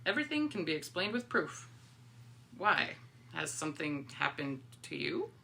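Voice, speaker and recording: A woman's voice: sits low, sing-song, moderate pace, gravelly, pushed and bright, heavily acted. A woman playing a sarcastic, skeptical teenage schoolgirl in a dramatic comic reading, arguing drily. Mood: dry, skeptical.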